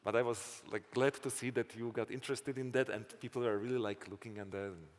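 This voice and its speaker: A man with a Slovak accent, answering audience questions after a conference talk in a thoughtful, halting way, often restarting phrases. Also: mid-range voice, fairly even intonation, a little hiss or hum. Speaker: conference speaker. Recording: reverberant conference hall.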